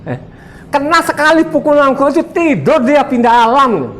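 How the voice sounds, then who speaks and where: Combative elderly preacher. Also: male voice, very high-pitched, big pitch swings, normal speed, fairly bright tone. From Sumba, Indonesia. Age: 70s. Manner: combative, boastful, laughs often, quizzes listeners. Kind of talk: sermon to a congregation.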